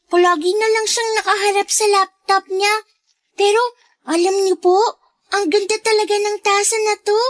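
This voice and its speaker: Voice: very high voice, fairly even intonation, normal speed, fairly bright tone, no echo, clean studio sound. A performer voicing a polite, timid little girl in a Filipino radio drama, speaking in a childlike, deferential way. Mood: curious, admiring. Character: sweet, polite, timid little girl, younger half-sister.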